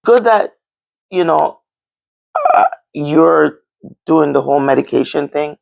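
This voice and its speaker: Low-voiced Jamaican woman with a gravelly edge. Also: slow pace, dull audio. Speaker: discussion show host. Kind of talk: candid casual discussion.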